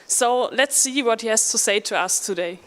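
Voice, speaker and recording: A woman co-host introduces the next speaker in a plain, friendly way. Her voice is slightly high. It carries a long reverb from the event hall. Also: moderate pace, fairly bright tone. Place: event pavilion at a conference.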